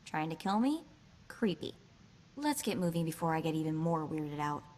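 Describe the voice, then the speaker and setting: A woman voicing a quick-witted, sardonic young female hacker in a space video game, chatty in manner. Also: deep voice, big pitch swings, darkish tone, a little hiss or hum. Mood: wry, uneasy.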